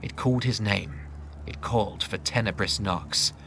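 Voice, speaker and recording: A man's voice: lowish voice, normal speed, vocal fry, fairly bright tone. A man reading dramatic scripted fantasy narration in a grave manner. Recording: faint noise and ambience.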